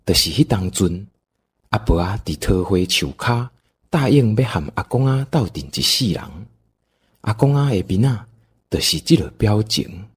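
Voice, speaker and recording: A man's voice: fairly low pitch, animated, normal speed, slightly rough. A man with a Taiwanese accent narrating an audio drama in a folksy, dramatic storytelling style.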